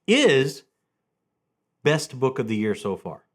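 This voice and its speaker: A man hosting a casual, enthusiastic book vlog, talking in an animated way with halting restarts and repeated words. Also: mid-range voice.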